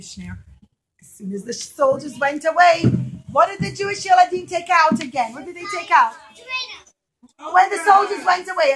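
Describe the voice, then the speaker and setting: A woman's voice: very high-pitched, fairly bright tone, low-quality recording. A woman telling a story to young children in simple, repeated phrases, often putting questions to her listeners.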